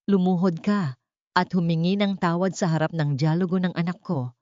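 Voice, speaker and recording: A woman's voice: lowish voice, steady tone, moderate pace, a little mellow, lightly acted. A woman voicing a wrathful, domineering elderly rich matriarch in an audio drama, accusing and commanding. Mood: cold fury. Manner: commanding, accusing, switches between languages. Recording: dull lo-fi audio with room echo.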